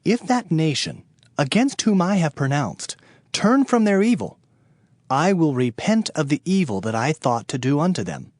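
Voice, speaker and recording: A man giving a dramatized scripture narration as an audio Bible narrator, solemn and declamatory with deliberate phrasing. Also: fairly high pitch, very animated, darkish tone, no echo, clean studio sound. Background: background music.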